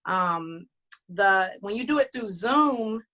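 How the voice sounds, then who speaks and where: A woman's voice: mid-range voice, animated, slow, pushed and bright. A woman recording artist who also runs a label, talking loosely and chattily in a casual interview, with many fillers and restarts.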